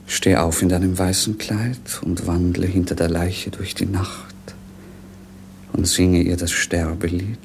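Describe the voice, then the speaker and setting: A man's voice: deep voice, flat delivery, gravelly, slight background noise. An actor playing a dreamy, melancholic young nobleman in love, speaking lyrically in a poetic radio drama. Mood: dreamy, tender. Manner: lyrical, brooding, measured.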